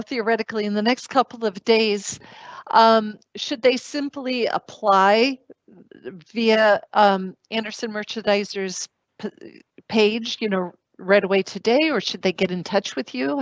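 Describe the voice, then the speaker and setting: An upbeat woman hosts an online career fair and keeps the questions coming. Her voice is fairly high. It comes through dry, dull video call audio. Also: a little slow, no echo. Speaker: host. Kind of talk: moderating a virtual career fair.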